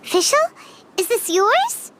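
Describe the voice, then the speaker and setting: A woman's voice: very high voice, very animated, slow, fairly bright tone, slight background noise, heavily acted. A woman voicing a tiny, plucky, childlike fairy companion in a video game, with an exclamatory manner. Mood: curious.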